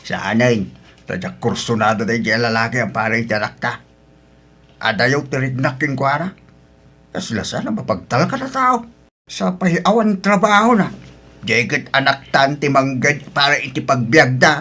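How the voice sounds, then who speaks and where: Guy acting as suspicious old ilocano dad, mid pitch, disapproving, radio drama. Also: expressive, normal speed, pushed and bright. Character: wary, disapproving old father of a grown daughter.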